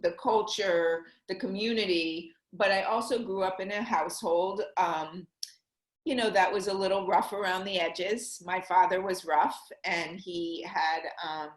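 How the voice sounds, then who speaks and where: A middle-aged woman from Connecticut, a money coach and interview guest, talking earnestly about her life in quick bursts. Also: medium pitch, reverberant. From Connecticut, USA. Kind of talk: conversational interview.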